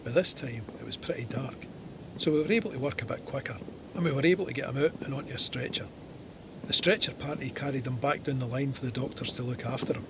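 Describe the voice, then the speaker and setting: An actor playing a stoic Scottish First World War soldier, narrating his memoir in a measured, matter-of-fact way. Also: mid-range voice, noisy background, natural voice. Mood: sombre, matter-of-fact. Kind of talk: scripted memoir narration in an audio drama.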